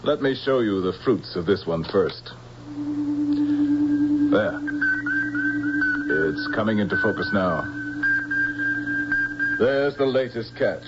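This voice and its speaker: A formal, deferential general, in a middle-pitched voice. It is old-time radio drama with a muffled sound and music behind it. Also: male voice, lively intonation, takes it slowly, long pauses. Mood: formal, proud. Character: dutiful, formal male general of a space fleet. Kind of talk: vintage radio drama acting.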